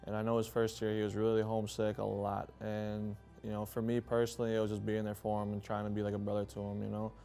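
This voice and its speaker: A young man from Wisconsin, a college senior and goalkeeper, speaking in a brisk, friendly way in a documentary interview. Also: fairly low pitch, completely flat delivery, vocal fry, warm tone, faint music behind. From Wisconsin, USA. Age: young adult.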